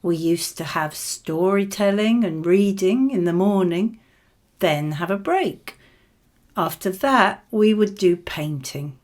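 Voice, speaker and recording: A woman reading scripted model answers for a spoken exam, with measured, clearly phrased delivery. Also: medium pitch, animated, a little mellow.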